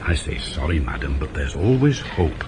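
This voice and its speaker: A low, gruff voice swings freely through the blunt grumbling of a veteran police inspector. He is older, sardonic and thoroughly cynical, in a 1980s radio drama on a clearly noisy old tape. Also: sing-song, moderate pace. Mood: indignant, grumbling.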